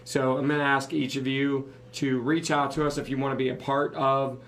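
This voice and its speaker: A man's voice: mid-range voice, level tone, normal speed, fairly bright tone, slight background noise. A man co-hosting a live podcast, losing his voice, talking casually and cracking jokes. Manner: joking, loose, rambling. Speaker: podcast co-host.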